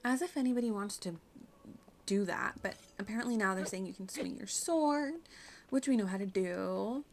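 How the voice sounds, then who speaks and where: A woman streams a game with casual running commentary. Her voice sits at a middle pitch, and her manner is chatty and playful, with a giggly streak. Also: normal speed, slight background noise.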